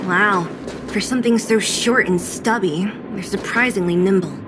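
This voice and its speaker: A woman's voice: medium pitch, moderate pace, background noise, natural voice. A woman playing a bold, tough young female fighter in a video game, talking in a direct, punchy way. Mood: bold, wary.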